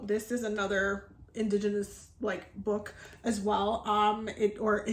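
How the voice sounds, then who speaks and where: A woman's voice: mid-range voice, slight background noise. A woman from the Dominican Republic talking casually to her viewers as a herbalism and energy healing teacher, chatty and upbeat with lots of fillers and little laughs. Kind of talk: casual video chat to viewers. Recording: echoey room.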